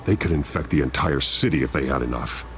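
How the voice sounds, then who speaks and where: An actor playing a grim, gruff masked detective in a video game, speaking in clipped, analytical lines. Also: male voice, very deep voice, fast, a bit bright, slight background noise. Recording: game score under the voice.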